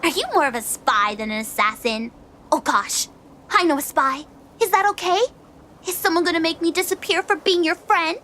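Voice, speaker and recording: A woman's voice: extremely high pitch, moderate pace, sharp tone, slight background noise. A woman playing a playful, easily bored young goddess in an audio drama, teasing and gushing in casual slang. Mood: amazed, excited.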